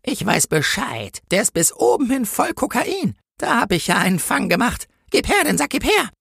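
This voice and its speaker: Man putting on a shrill, officious female traffic warden. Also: huge pitch swings, moderate pace, vocal fry, a bit bright, breathy edge, dry recording, studio quality, full character voice. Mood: gloating, aggressive. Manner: scolding, interrogating, sneering. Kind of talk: acted character in a comic Christmas story.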